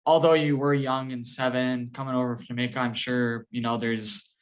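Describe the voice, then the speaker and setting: A man hosts a laid-back interview and keeps things friendly. He talks at an ordinary middle pitch and leans on fillers like "you know" and "um". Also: flat delivery, moderate pace. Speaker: host. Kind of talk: casual interview hosting.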